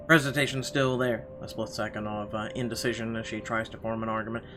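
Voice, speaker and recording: A man narrating a visual novel as a let's player, reading aloud a little haltingly with casual asides. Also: fairly low pitch, level tone. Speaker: gamer reading the story aloud. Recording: game music clearly behind the voice.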